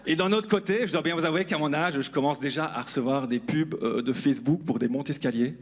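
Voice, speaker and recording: A man's voice: on the high side, fast talker. A man performing stand-up on stage, self-deprecating and speaking directly to the audience. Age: 40s. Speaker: stand-up comedian. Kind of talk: stand-up comedy monologue to an audience. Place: live comedy club stage.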